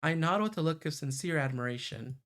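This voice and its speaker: A man's voice: a little high, lively intonation, fairly quick, fairly bright tone. A man streaming a visual novel, reading its narration aloud and adding casual asides.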